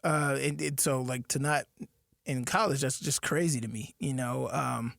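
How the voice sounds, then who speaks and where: A man's voice: mid-range voice, steady tone, moderate pace, vocal fry, a bit bright, dry recording, clean studio sound. A man who played football, chatting casually about players and rambling with many fillers. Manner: rambling, lots of fillers and restarts. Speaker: former football player, co-host. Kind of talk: casual sports chat.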